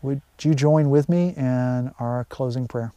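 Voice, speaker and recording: A man's voice: medium pitch, unhurried, dark tone. A middle-aged man giving a short sermon as a pastor, speaking to his congregation. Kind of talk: gentle short sermon with pauses. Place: outdoors in a pine wood.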